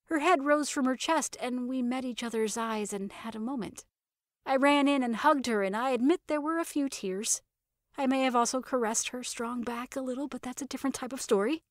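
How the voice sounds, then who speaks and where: A woman narrating scripted audio fiction and podcast credits with an expressive, wry storytelling manner. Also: high-pitched, a little fast, no room sound. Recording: dry recording with music behind. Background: music.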